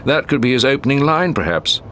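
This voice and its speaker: Expressive British man narrating a story. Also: medium pitch, brisk, a little hiss or hum. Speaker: audiobook narrator. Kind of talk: scripted short story narration.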